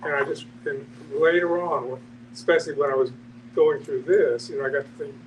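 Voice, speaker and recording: A man thinking aloud in a halting, reflective way during a casual discussion. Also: fairly high pitch, steady tone. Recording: distant mic, noisy humming room.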